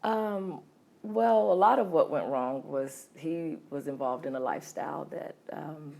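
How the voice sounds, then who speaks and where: A middle-aged woman from California telling her life story as an interview guest, hesitant with many ums and soft laughs. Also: sits low, unhurried, vocal fry. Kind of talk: candid personal storytelling.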